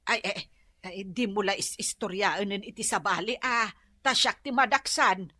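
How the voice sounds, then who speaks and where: A woman's voice: medium pitch, moderate pace, sharp tone, no room sound, studio quality. A woman with an Ilocano accent playing a gossipy, nagging middle-aged wife in a comedy radio drama. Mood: gossipy, pleased. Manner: exclamatory, scolding and chatty.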